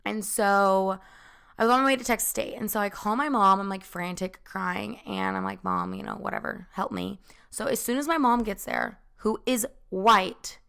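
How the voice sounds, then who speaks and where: A young woman vlogs a personal story in a loose, chatty way, tossing in fillers and asides as she goes. Her voice is bright and clear, with a creaky edge that keeps showing up. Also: medium pitch, very animated, normal speed. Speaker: vlogger.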